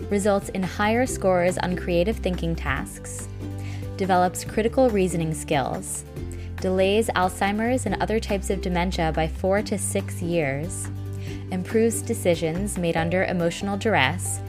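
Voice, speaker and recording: A woman hosting a podcast, clearly reading out a prepared introduction of her guest. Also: on the low side, unhurried. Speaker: podcast host. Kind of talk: scripted intro. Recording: music bed under the voice.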